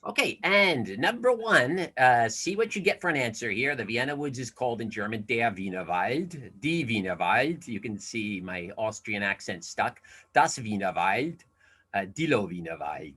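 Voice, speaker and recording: Older guy doing a quiz on a zoom call, rambly asides, kinda sharp bright tone. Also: mid-range voice, sing-song, normal speed, husky. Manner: chatty, self-deprecating, asides and fillers. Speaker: alumni event quiz presenter. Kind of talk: casual online presentation. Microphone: video call.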